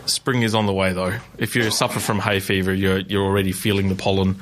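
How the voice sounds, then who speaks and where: A chatty Australian man hosting a podcast, his voice sitting fairly low. He rambles loosely with plenty of ums and restarts. The tone is relaxed and conversational. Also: a little fast, slight background noise. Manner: chatty, rambling with many fillers. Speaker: podcast host. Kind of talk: casual chat.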